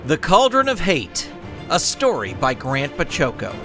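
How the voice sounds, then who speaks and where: A man reading his own serialized story as an animated scripted narration. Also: on the high side, unhurried, over music.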